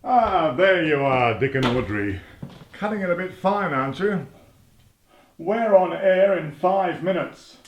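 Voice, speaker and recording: A man's voice: sits high, animated, a little slow, dull audio, music in the background. A man playing an officious, self-important radio stage manager who nags and fusses over his cast in a comedy. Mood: fussy, officious. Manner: officious, nagging. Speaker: radio producer. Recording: roomy echo.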